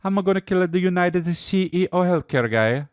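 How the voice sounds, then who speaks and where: A man voicing an excitable, emotional, cartoonish Italian man plotting a crime in exaggerated declamations for a comedy bit. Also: high voice, moderate pace, clear, resonant tone, dry recording, clean studio sound, voice pushed up. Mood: worked up.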